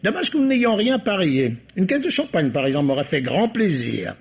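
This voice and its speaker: An actor playing a shrewd, courteous, self-assured police commissioner in a formal, theatrical radio mystery style. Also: male voice, high voice, sing-song, moderate pace, gravelly, husky, exaggerated voice. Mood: smug, teasing. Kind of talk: vintage French radio mystery acting. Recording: dull, muffled old radio recording.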